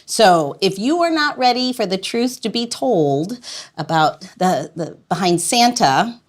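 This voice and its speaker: A woman's voice: mid-range voice, expressive, unhurried. A woman hosting a podcast in a casual, playful chat, laughing often. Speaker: podcast host.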